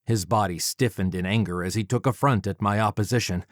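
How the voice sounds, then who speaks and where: A man narrating a first-person story as an audiobook reader, dramatic, measured and deliberate, close to the microphone. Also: fairly low pitch, no room sound.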